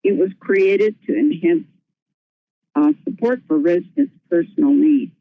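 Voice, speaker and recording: A woman's voice: medium pitch, sing-song, a little slow, dry recording. A woman giving a prepared presentation as a nursing home administrator, earnest and careful, with small restarts. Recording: tinny phone-line audio.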